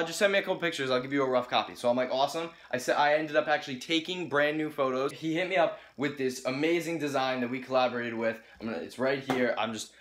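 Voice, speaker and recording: Bright-voiced young man vlogging. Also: medium pitch. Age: young adult. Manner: enthusiastic, earnest. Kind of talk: casual vlog talk to viewers. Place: home kitchen, indoors.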